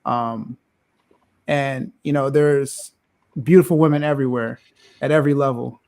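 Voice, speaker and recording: A man with a deep, loud, gravelly voice that has a lot of fry in it. He co-hosts a casual panel podcast, talking in a measured, halting way and pausing on fillers. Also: fairly even intonation. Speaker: podcast co-host.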